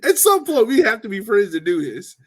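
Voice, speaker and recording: A man's voice: high-pitched, sing-song, fairly quick. A man hosting a casual sports podcast, upbeat and loose, laughing easily with his co-hosts. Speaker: podcast host.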